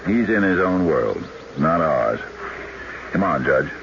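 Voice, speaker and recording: An American actor playing a folksy old-West sheriff with a rustic drawl in a radio drama. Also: male voice, extremely low pitch, expressive, slow pace, exaggerated voice. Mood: folksy, wary. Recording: muffled, noisy old radio broadcast.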